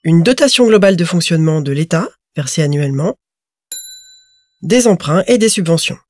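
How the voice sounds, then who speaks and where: A woman giving scripted narration for an explainer video, in a clear, measured, informative manner. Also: on the low side, expressive, a little mellow, dry recording.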